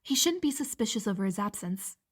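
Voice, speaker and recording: Breathy, anxious castle subordinate. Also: female voice, mid-range voice, animated, fairly quick. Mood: worried. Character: anxious, deferential subordinate to a guard captain. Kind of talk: fantasy audio drama acting.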